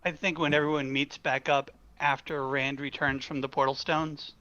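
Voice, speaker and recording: A man with a slightly high, very bright voice speaks as a fan panelist. His delivery is casual and conversational, with a sharp, forward tone. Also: little pitch movement, moderate pace, lo-fi sound. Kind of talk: casual chat.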